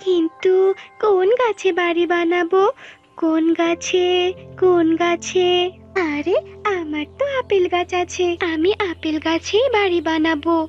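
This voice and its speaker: A very high little cartoon bird voiced by a woman, industrious and chattering away to herself. The sound is slightly dull and lo-fi. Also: fairly even intonation, a little slow, a little mellow. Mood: determined. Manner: chattering to herself, repeating words. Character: busy, hardworking, helpful little bird Tuni. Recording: dull lo-fi sound, music behind.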